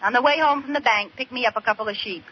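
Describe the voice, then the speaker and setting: An actress playing a scatterbrained, stubborn young American housewife with comic theatrical delivery in 1940s radio comedy style. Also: female voice, a little high, fast, close to an everyday voice. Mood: wry. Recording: noisy, muffled old radio recording.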